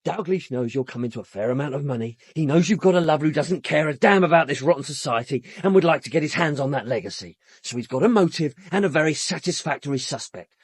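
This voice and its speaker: An actor playing a domineering, secretive young British radical in a radio drama, curt, contemptuous and emphatic. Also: male voice, a little high, lively intonation, quick pace, few pauses, breathy edge, no room sound. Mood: scornful.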